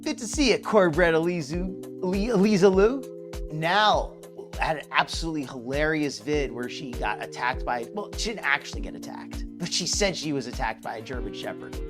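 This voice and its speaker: Hoarse guy with a slightly high voice doing live stream commentary, chatty, teasing, faint music behind. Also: animated, normal speed. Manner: chatty and mocking, greets chatters by name. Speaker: commentary livestream host. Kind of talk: casual live reaction commentary.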